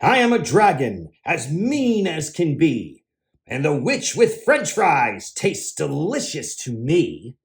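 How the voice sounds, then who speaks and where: A man's voice: on the high side, wildly swooping pitch, slow pace, exaggerated voice. A man voicing a mean, boastful, hungry dragon in a children's storybook read-aloud. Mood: menacing.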